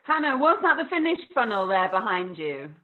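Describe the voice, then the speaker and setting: A woman co-hosting a live stream with quick remarks, heard over a tinny call line. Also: medium pitch, very animated, slight background noise. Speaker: co-host. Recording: tinny phone-like call audio.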